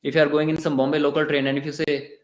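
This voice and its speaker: A man with an Indian English accent giving a conversational devotional lecture in a temple, pausing and restarting often. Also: a little high, flat delivery. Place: temple hall. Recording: echoey temple room.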